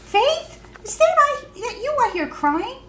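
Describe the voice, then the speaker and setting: A woman's voice: very high voice, sing-song, unhurried, fairly bright tone, pitched above the performer's own voice, exaggerated voice. A caring, eager little girl in a storybook, voiced by a woman reading aloud. She is earnest, emphatic and reassuring. The sound is roomy with slight background noise. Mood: calling out, concerned. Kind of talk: children's storybook read-aloud.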